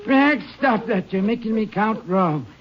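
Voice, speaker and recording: An actor playing a fretful, flustered rancher in an old radio western. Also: male voice, sits high, expressive, unhurried, music in the background. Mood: irritated, nervous. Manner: muttering and flustered. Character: fretful rancher counting money, frightened by intruder. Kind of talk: 1940s-50s radio western acting. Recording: muffled old radio recording, echoey, busy background.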